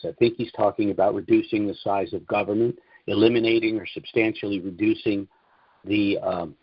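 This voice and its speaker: A man with a low, husky voice holds forth on a conference call, lecturing and asking rhetorical questions before answering them. The sound is dry and muffled, like lo-fi call audio. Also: no room sound. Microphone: phone or call line.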